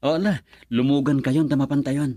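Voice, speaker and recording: A man's voice: mid-range voice, fairly even intonation, brisk, mellow, no echo, close to an everyday voice. An actor playing a friendly, modest young professional man in a radio drama. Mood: friendly, respectful. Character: friendly, modest young architect, respectful to elders.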